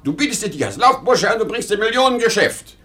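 An aging salesman father, proud and anxious, in a radio play. His high voice swings widely as he lectures with emphasis, blustering and domineering. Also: big pitch swings, pushed and bright, heavily acted. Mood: lecturing, insistent. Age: older. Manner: lecturing, emphatic, quick to flare up. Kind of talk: 1950s German radio drama acting.

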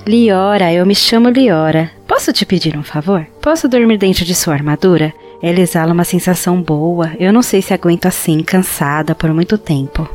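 A woman playing a tiny, hungry forest fairy in a fantasy audio drama, speaking in an earnest, pleading, gentle and formal storybook way. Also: on the low side, brisk, hardly any pauses, faint music behind, close to an everyday voice. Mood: tired, gentle.

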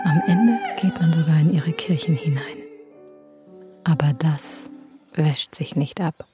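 A man's voice: a little high, unhurried, lightly acted. A man acting a gossiping, uneasy, prejudiced society guest in a radio play, speaking in clipped, insinuating phrases. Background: loud operatic music.

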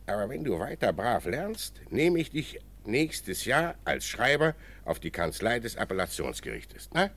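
An actor playing a patient, fatherly elderly jurist in a German radio drama, speaking in a measured, formal, instructive way. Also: medium pitch, big pitch swings, a bit bright, heavily acted. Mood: earnest, consoling. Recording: faintly noisy radio drama recording.

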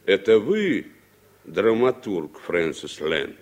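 A man's voice: sits low, very animated, slow, full character voice. An actor playing a stern, humourless committee chairman in a formal, imperious manner, in 1960s Soviet radio drama style. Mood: probing. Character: stern, humourless American congressional committee chairman interrogating a witness.

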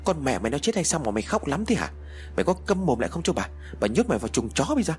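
A man's voice: fairly low pitch, sing-song, rapid-fire, faint music behind. A man voicing a cruel middle-aged grandmother who scolds coarsely and hurls threats. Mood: furious.

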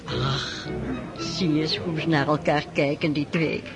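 A woman's voice: low voice, steady tone, slow pace, gravelly, over music, full character voice. A woman playing a scheming aristocratic mother in a radio play, talking in a gushing, coaxing way. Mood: gossipy. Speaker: mother of Boris. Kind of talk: radio drama acting.

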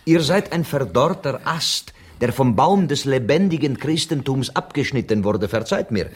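An actor in a radio play voicing a sober, apologetic interpreter who relays others' words in a measured, deliberate way. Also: male voice, mid-range voice, animated, slightly rough. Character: sober, apologetic male interpreter between two quarrelling writers.

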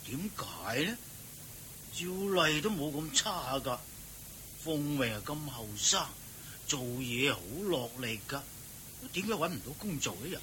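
A man's voice: very animated, measured pace, sharp tone. An actor playing a meek, muddled old father in a radio drama, with a Hong Kong Cantonese accent and a hesitant, stammering delivery. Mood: concerned, meek. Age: older. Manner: hesitant, stammering, repeats himself. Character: muddled, meek old father begging from his married daughter. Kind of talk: Chinese radio drama acting. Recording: noisy radio drama recording with rumble.

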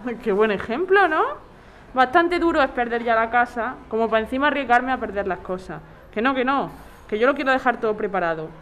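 A woman's voice: on the high side, expressive, a little fast, fairly bright tone, slight background noise. A woman playing an anxious, nagging wife in an audio drama, insistent and exasperated with her husband. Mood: exasperated, urging. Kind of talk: scripted audio drama acting.

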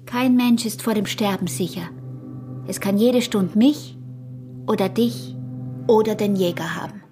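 Woman acting a provocative young peasant wife, Austrian accent, slow taunting delivery, radio drama, faint music behind. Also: mid-range voice, dark tone, lightly acted.

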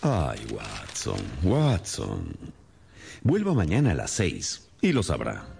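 A man's voice: a bass voice, huge pitch swings, slow, creaky, darkish tone, a little hiss or hum, full character voice. An actor playing a shrewd, composed male detective in a radio drama, questioning formally with thoughtful murmurs. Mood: knowing. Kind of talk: Spanish-language radio drama acting.

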